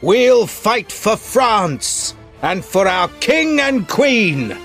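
A woman voicing a stern, commanding male musketeer leader with solemn, measured speech. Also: fairly low pitch, sing-song, faint music behind. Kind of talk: audiobook character voice.

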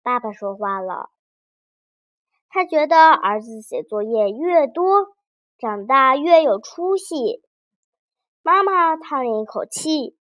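Woman reading a kids fairy tale, high voice, takes her time, very expressive, dry studio-like sound. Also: no room sound, highs cut off. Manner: animated, addresses young listeners with questions. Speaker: children's storyteller.

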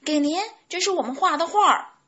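A woman voicing eager, excitable little chicks with childlike, exclaiming speech. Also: normal speed, muffled recording. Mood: excited, pleading. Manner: exclaiming, high-spirited.

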